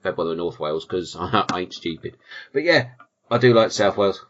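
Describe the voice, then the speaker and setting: A British man with a bright, forceful voice hosts a casual film podcast. He banters in a dry, jokey way and swears freely. The audio is slightly dull and lo-fi. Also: low-pitched, lively intonation, a little fast. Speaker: podcast host.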